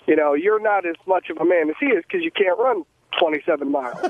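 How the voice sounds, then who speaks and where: A man calling in to a radio show over the phone, chatting casually and haltingly. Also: high voice, expressive. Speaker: caller. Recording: tinny phone line with hiss.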